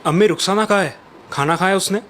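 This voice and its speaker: An actor playing a concerned young husband and dutiful son in a children's cartoon story. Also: male voice, sits high, fast talker, a bit bright, slight background noise. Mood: puzzled.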